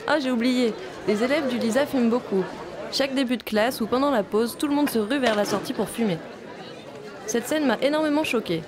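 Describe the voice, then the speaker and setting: A young woman from Vietnam, a native Vietnamese speaker, calmly narrating a personal video diary as a visiting film student. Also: a little high, a little fast, crowd noise. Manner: calm, reflective, first-person storytelling.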